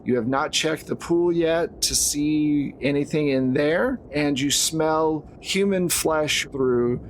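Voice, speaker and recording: A man's voice: on the high side, slow pace. A man acting as game master, narrating and explaining an improvised tabletop horror game in a conversational way. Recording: clear background noise.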